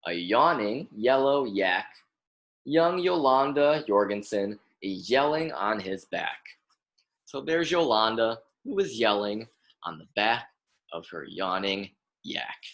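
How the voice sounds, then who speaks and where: A young adult American man reads a children's book aloud as a lesson. His pace is unhurried and his intonation is lively, rising and falling widely. He instructs plainly, repeating and stressing words for young listeners. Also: on the low side. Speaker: graduate student reading aloud to children.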